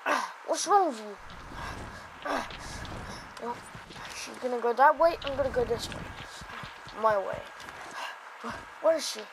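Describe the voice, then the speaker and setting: Woman acting a male sorcerer hero, boastful, exasperated, slow halting delivery, game roleplay, noisy recording. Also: on the high side. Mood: agitated. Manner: halting, improvised, exclaiming. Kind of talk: amateur improvised game roleplay film. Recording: noisy amateur game-capture audio.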